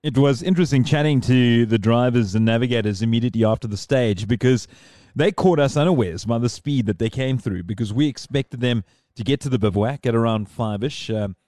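A man's voice: mid-range voice, fairly quick, mellow, dry recording, studio quality. A man hosting a podcast in casual chat, rambling and digressing as he talks. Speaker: podcast host.

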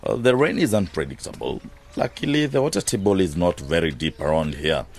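A man's voice: low-pitched, lively intonation, fairly quick, a little hiss or hum. An actor playing a proud, hard-headed farmer and businessman in a radio drama, self-assured and matter-of-fact.

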